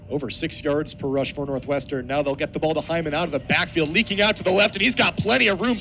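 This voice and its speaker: Young American male sports announcer, high voice, energetic football radio call, noisy outdoor stadium behind. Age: 20s. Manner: energetic, vivid, builds to excited shouts on big plays. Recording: outdoor stadium ambience, clear background noise. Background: stadium noise.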